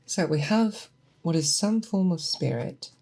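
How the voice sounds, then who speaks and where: A woman playing a seasoned, patient monster hunter who teaches an apprentice in a soft, deliberate, questioning way, in a close-miked ASMR roleplay. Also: lowish voice, lively intonation. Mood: explanatory, musing. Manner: deliberate, instructive, questioning, thoughtful pauses. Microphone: close binaural microphone.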